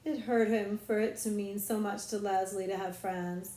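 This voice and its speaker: Expressive woman storyteller, echoey room. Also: mid-range voice, moderate pace, a little hiss or hum. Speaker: audiobook reader, librarian. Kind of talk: expressive scripted storybook narration.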